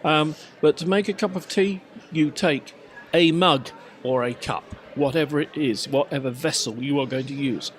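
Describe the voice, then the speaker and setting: A man's voice: fairly high pitch, normal speed, a little hiss or hum. A middle-aged man with an English accent, chatting casually as a podcast host in an emphatic, mock-pompous way. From England. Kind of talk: casual anecdotes and mock-instructional chat.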